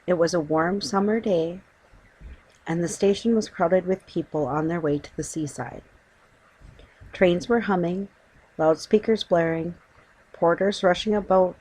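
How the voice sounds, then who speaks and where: A woman's voice: fairly low pitch, darkish tone, rough recording. A woman reading a children's story aloud as scripted audiobook narration.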